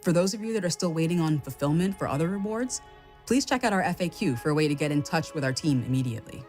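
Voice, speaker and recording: A woman delivering a scripted company announcement as a spokeswoman, in a formal, measured way. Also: low-pitched, animated, a little mellow, faint music behind. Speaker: game studio spokeswoman.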